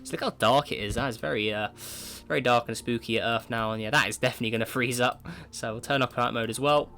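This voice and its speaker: A man's voice: medium pitch, fast, bright, forceful tone, slight background noise. A man with a cold and a sore throat, chatting casually as he comments on a space simulation game, thinking aloud and often starting with "right, so". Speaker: gaming video creator.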